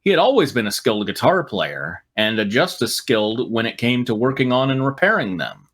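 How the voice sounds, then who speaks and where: A man narrates a story aloud in a middle-pitched voice. He plays up the drama a little, then drops into casual, wry commentary. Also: sing-song, fairly quick, fairly bright tone. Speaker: reader and riffing co-host. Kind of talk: comic read-aloud of fan fiction with asides.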